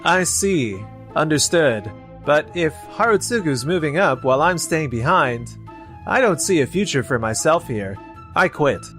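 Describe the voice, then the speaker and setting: Slow, resigned young salesman played by an actor. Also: fairly high pitch, animated, fairly bright tone, soft background music. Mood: resigned, firm. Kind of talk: anime-style manga dub acting.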